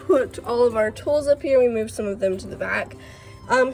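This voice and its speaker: A chatty woman with a fairly high voice, a little stuffy and congested. She talks excitedly, with plenty of "um"s, while filming outdoors beside a shed. Faint music plays softly underneath. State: stuffy from allergies. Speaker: farm vlogger. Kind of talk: casual vlog.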